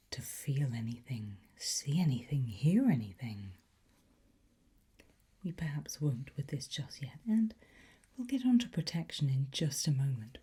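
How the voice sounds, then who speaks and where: A woman whispering breathily as a teacher in an ASMR roleplay, gentle, unhurried and encouraging. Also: a bass voice, slightly rough. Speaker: ASMR host playing a language teacher.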